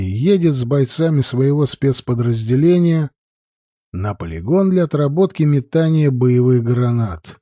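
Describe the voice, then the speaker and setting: A man's voice: a little high, dark tone, no room sound, studio quality. A man reading a novel aloud as scripted audiobook narration.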